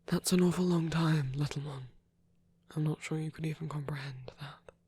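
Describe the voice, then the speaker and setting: A performer playing a gentle, protective male vampire priest in an intimate ASMR roleplay, speaking softly and haltingly, often in a whisper. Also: medium pitch, fairly even intonation, no room sound. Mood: tender, hesitant. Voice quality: soft, breathy, often whispering. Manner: hesitant, tender, halting pauses.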